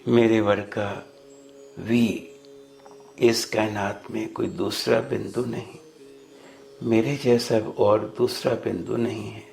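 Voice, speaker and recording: A man with a Punjabi accent leading a guided meditation of prayerful affirmations, deliberately repeating phrases for listeners to follow. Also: lowish voice, steady tone, creaky, darkish tone. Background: background music.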